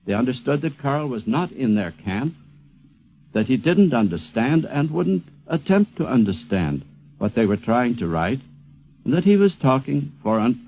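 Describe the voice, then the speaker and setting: A man speaking emphatically and repeating words for effect as he reminisces and explains, on a 1950s radio programme. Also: medium pitch. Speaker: interviewee recalling a poet friend. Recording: dull 1950s radio recording.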